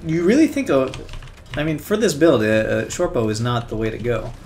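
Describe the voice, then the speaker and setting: A man in casual gaming conversation, with an animated, mid-pitched voice. Faint noise and keyboard clicking sit behind his speech. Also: brisk. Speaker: gamer defending own build. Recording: noisy mic with keyboard clicks.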